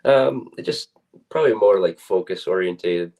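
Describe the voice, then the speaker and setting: A man's voice: low-pitched, normal speed, a little mellow. A young man, a student athlete, giving casual, plain-spoken and somewhat hesitant interview answers. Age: young adult.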